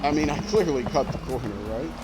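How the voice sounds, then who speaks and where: A man streaming a racing game, thinking aloud in rambling, self-restarting commentary with sudden excited outbursts. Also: medium pitch, a little fast, poor audio. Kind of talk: casual gameplay commentary. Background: loud game music and car engine sounds.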